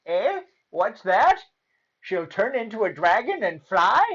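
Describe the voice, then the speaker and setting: A storyteller voicing a half-deaf, muddled, cheerful fairy woman in a storybook tale, loudly querying and hesitating as she goes. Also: mid-range voice, sing-song, slow, lo-fi sound, voice pushed up, exaggerated voice. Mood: puzzled. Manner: mishears, asks loudly, hesitates.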